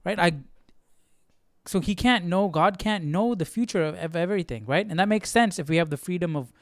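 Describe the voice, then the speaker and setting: Male livestream host: conversational, musing aloud, somewhat raised pitch, very little room sound. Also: expressive, a little fast, no echo, clean studio sound. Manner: thinking aloud, often seeking agreement with tag questions. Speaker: livestream Bible study host. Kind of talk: casual commentary.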